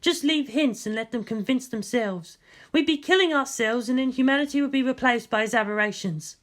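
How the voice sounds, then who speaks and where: A woman voicing a cool, cynical, analytical female advisor in a story, measured and matter-of-fact. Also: fairly high pitch, fairly bright tone, lightly acted.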